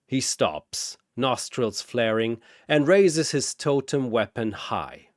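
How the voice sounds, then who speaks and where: A male narrator delivers dramatic fantasy battle prose in a dry, close recording. His phrasing is slow and deliberate, with theatrical, widely moving intonation. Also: medium pitch, expressive, no echo.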